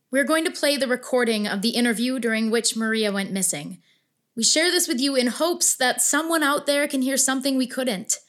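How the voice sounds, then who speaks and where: A woman's voice: a little high, a bit bright, lightly acted. A woman playing an earnest, worried podcast producer, giving a composed, solemn scripted appeal in an audio drama.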